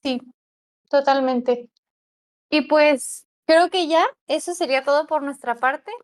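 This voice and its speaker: Young woman, high voice, hedging a lot, casual chat about a class she saw. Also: takes it slowly. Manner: reflective, hedging, many fillers. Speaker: language teaching student. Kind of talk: casual conversation analyzing a class.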